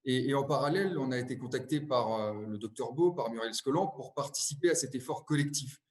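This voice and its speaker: A man's voice: medium pitch, brisk. A man speaking as a local official, giving a formal interview statement. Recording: echoey room.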